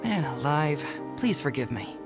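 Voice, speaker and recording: Casting: playful, shrewd anime game sleuth, smooth-talking and self-assured, music behind. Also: male voice, on the high side, sing-song, measured pace. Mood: breezy, polite. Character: shrewd, playful young male detective. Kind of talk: anime video game dialogue.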